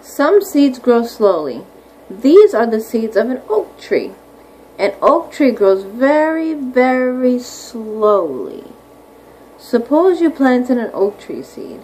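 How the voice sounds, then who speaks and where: A woman with a somewhat high voice gives a read-aloud lesson for young children over some background noise. She explains things simply, like a primary teacher, and keeps checking in with questions. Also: darkish tone, poor audio. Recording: clearly audible background noise.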